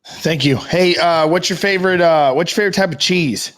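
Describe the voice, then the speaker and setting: A man's voice: on the high side, a little fast, a bit bright, a little hiss or hum. A man co-hosting a podcast in casual, joking conversation. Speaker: podcast co-host. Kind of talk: casual chat with comedic banter.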